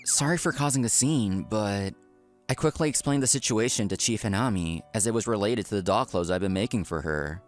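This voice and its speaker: Man acting a low-voiced, earnest office worker. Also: a little fast, soft background music, natural voice. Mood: apologetic. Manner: polite, earnest. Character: earnest, polite male office worker telling his story. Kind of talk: scripted first-person narration, comic dub.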